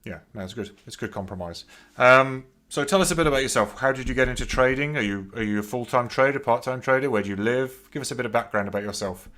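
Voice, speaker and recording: A man's voice: mid-range voice, quick pace, bright, forceful tone. A man hosting an interview, asking questions in an easygoing, encouraging way. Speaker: interview host.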